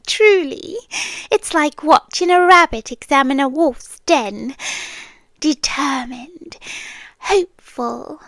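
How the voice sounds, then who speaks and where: A British woman playing a possessive, sweetly menacing yandere siren in an ASMR roleplay, breathy and often whispering, with teasing, deliberate delivery. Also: high voice, dry recording, heavily acted. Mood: teasing, amused. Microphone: close, dry microphone.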